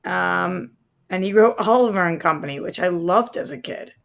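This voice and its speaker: A woman's voice: lowish voice, normal speed, gravelly. A woman with an American accent chatting casually as a podcast co-host, informal and inquisitive about entertainment news.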